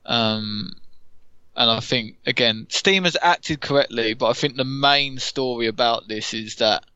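A man's voice: mid-range voice, unhurried, pushed and bright, clear, resonant tone, no room sound. A man co-hosting a casual podcast, earnest and repeating himself as he thinks. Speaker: podcast co-host. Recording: dull, dry close mic.

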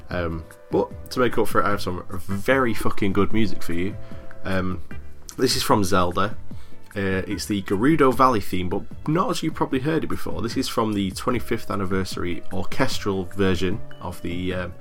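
Podcast host guy, deep-ish voice, bit of a cold, blunt and sweary, faint music behind. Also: normal speed, hardly any pauses, a bit bright. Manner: frank, swears casually. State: has a cold. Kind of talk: casual podcast chat.

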